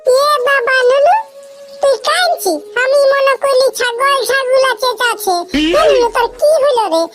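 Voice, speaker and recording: An actor voicing a blunt, teasing rustic mother in a comic cartoon, with a Purulia Bengali accent and an earthy, cajoling way of talking in a pitched-up voice. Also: measured pace, a bit bright. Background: music.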